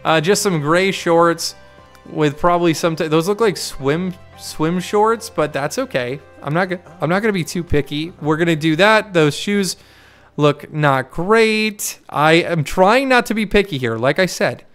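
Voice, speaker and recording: A young man with a higher voice chatting casually and thinking aloud as he hosts a game playthrough. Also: a little slow, fairly bright tone. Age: young adult. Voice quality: higher-pitched, clear smooth voice. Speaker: gaming video host. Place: small home room, indoors. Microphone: desk microphone close to mouth. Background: game music.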